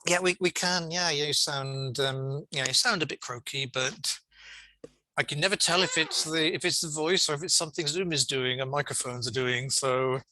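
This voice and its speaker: A man's voice: fairly high pitch, normal speed, bright, forceful tone, dry recording, low-quality recording. A man hosting an online conference session, giving encouraging, rambling feedback in an informal way. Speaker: conference host and session chair. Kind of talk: informal chairing and feedback over video call. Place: online video call.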